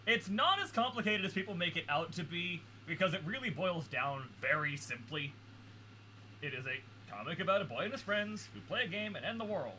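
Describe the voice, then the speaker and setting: A man with a strikingly bright, somewhat high voice chats as a guest on a reaction video. He explains things casually, like a fan, with faint noise in the background. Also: animated, fairly quick, low-quality recording. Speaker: guest reactor who knows the franchise.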